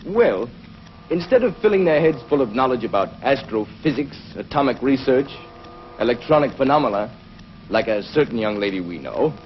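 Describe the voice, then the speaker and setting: A man plays a learned doctor of the future in a 1950s TV space serial. He explains things earnestly and deliberately, with widely swinging pitch. The soundtrack is dull and noisy. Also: big pitch swings, close to an everyday voice. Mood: thoughtful, earnest. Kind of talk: 1950s television science fiction acting.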